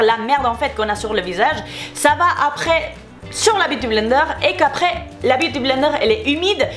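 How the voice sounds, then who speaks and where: A young woman from Spain speaks French with a Spanish accent, talking fast and heated in a casual beauty vlog as she answers nasty comments. Her voice sits high, and she circles back over the same points again and again. Faint music plays underneath. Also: a bit bright. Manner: heated, irritated, repetitive. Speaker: beauty YouTuber.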